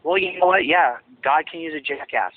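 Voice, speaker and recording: A man's voice: fairly high pitch, fairly quick, no echo. Man on a tinny phone line. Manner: rambling, emphatic, many restarts. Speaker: interviewee, former associate of a ministry. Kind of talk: phone interview.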